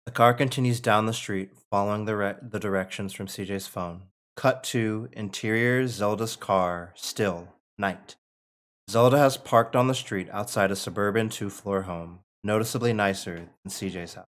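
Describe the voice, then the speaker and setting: A man with a fairly low voice narrates a screenplay at a table read. He reads out the scene headings and stage directions as scripted narration. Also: normal speed, fairly bright tone.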